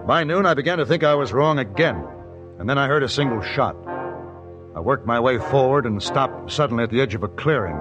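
An actor playing a laconic, steady investigator in an old radio western, with an American accent and a terse, unruffled delivery. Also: male voice, medium pitch, a little fast, lo-fi sound, music in the background, lightly acted. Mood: measured, narrating. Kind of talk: old-time radio western acting and first-person narration.

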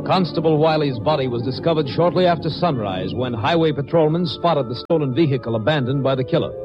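An actor playing a solemn narrator, reading scripted narration in an old radio crime drama. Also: male voice, a little high, lively intonation, a little fast, close to an everyday voice. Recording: muffled old radio recording. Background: prominent music.

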